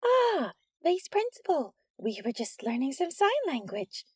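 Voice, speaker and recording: A woman voicing a nervous female schoolteacher who speaks in a high, fluttery, placating way. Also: very animated, a little slow, darkish tone, dry recording, clean studio sound.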